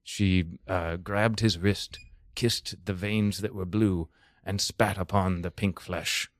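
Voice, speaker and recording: A man narrating an improvised mock-literary comedy story in a solemn, deliberate, halting way. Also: deep voice, fairly even intonation, no room sound, clean studio sound.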